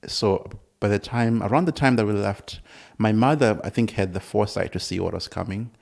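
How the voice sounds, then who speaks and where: A man's voice: fairly low pitch, vocal fry, darkish tone. Man in his thirties, from Zimbabwe. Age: 30s. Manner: laughs often, halting restarts. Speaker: conductor, guest. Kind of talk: casual interview conversation. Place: conductor's room in a music building, indoors.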